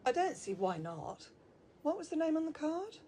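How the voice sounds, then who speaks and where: A woman plays a breezy, motherly receptionist in an audio drama. Her delivery is cosy and sing-song, swinging widely in pitch, over faint ambient noise. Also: sing-song, normal speed, dark tone. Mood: helpful, breezy. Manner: sing-song, cosy, calls people love. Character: chatty, breezy, motherly female office receptionist.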